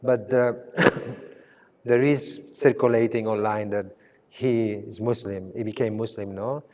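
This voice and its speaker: A man who grew up in Spain, speaking English as a second language, talks about his art in a halting way, often restarting and searching for words. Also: on the low side, steady tone, darkish tone, in a large hall. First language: Spanish. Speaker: artist. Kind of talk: gallery conversation.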